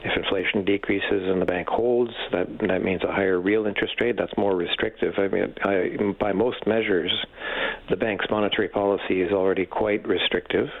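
Male expert guest, deep creaky voice, measured and hesitant delivery, slightly muffled radio sound. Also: fairly even intonation. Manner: measured, halting, hesitant phrasing. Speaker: economics professor, interview guest. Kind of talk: expert commentary in a radio interview.

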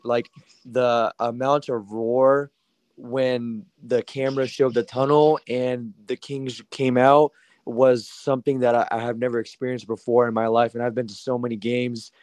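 A man calling in to a sports radio show, eager and gushing, with a slightly raspy voice. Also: medium pitch, darkish tone, no room sound. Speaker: caller. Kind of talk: phone-in chat.

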